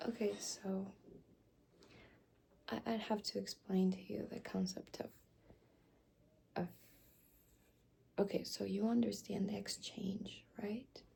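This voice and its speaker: A young woman whispers and murmurs her way through an ASMR roleplay, unhurried and slow. Her low voice is husky and gravelly, with a mellow, dark tone. She hesitates often and fills gaps with soft fillers. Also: level tone, a little hiss or hum. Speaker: ASMR creator.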